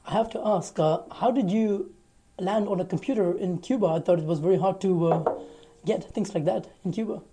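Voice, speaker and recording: A man hosting a casual interview, asking friendly questions in a halting way with many fillers, filmed outdoors on a rooftop terrace on a phone held at arm's length. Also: high voice, noisy background. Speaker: interview host.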